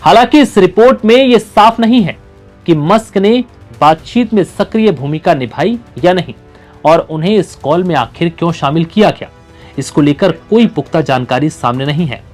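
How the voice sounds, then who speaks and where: A man's voice: sits high, lively intonation, normal speed, a little mellow. A man reading scripted news narration as a presenter. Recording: music bed under the voice. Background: background music.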